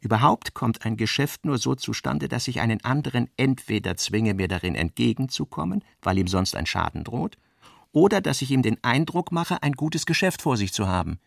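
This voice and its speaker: A man's voice: medium pitch, expressive, moderate pace, creaky, no room sound, studio quality. A male narrator voicing an earnest middle-aged businessman father, who argues insistently and rhetorically with his daughter in a literary radio drama. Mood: persuasive.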